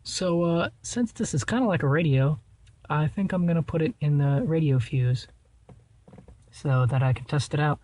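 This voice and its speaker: A man giving a casual hands-on how-to in an informal, halting way, thinking aloud as he goes. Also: on the high side, darkish tone. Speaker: vlogger showing a car radio install.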